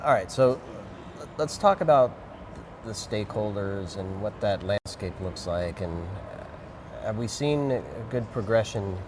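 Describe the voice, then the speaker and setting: A man hosts a casual interview in a fairly low voice. He is informal and conversational, repeating words as he agrees or moves to a question. Clear urban noise sits behind him. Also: expressive, measured pace. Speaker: interviewer, host. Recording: noisy urban ambience behind voice.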